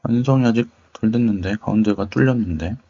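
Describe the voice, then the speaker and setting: A man with a lowish voice talks through a screen demo casually. He muses and wonders aloud, often murmuring to himself. The recording sounds somewhat muffled and lo-fi. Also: fairly quick, warm tone, husky. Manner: musing, tentative, murmuring to himself. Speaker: host of a tech video channel. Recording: dull, lo-fi microphone sound.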